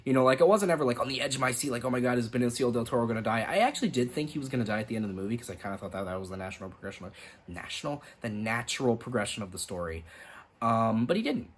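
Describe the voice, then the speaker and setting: A man's voice: mid-range voice, animated, racing, a little hiss or hum. A young man giving a casual, rambling movie review, full of "like" and restarts. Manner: rambling, lots of fillers and restarts. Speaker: film reviewer.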